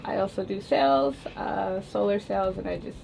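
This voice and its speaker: A woman in her thirties sits in as a podcast guest and fills her speech with pauses and fillers. Her pitch is mid-range, and steady background noise hangs under the recording. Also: creaky. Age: 30s. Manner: hesitant, lots of fillers. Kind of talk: casual group chat.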